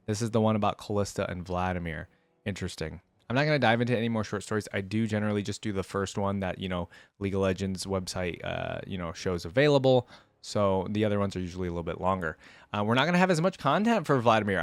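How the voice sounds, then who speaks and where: A man's voice: on the low side, no room sound. A man hosting a reaction video, giving casual commentary and musing aloud in a conversational way.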